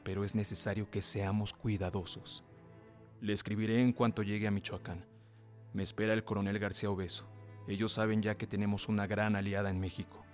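Actor as low-voiced, courteous gentleman conspirator. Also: little pitch movement, brisk, soft background music, lightly acted. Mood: formal, reassuring. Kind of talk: radio drama acting.

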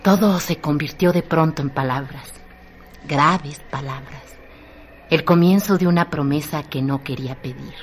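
A woman's voice: deep voice, a little slow. A woman giving a dramatic, literary narration as the narrator of a radio drama. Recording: noisy radio drama track.

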